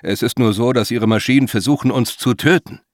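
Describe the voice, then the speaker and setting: A man's voice: medium pitch, a little fast, dry recording, studio quality, voice pushed up, natural voice. A man voicing an earnest, placating, uneasy male adventurer in an audiobook. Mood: careful, placating.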